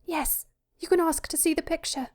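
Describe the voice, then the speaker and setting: Casting: frightened young daughter, played by a female narrator, high voice, breathy and hurried, pleading, for storybook narration. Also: fairly even intonation, a little mellow. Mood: urgent, desperate. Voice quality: breathy, gasping. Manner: hurried, anxious.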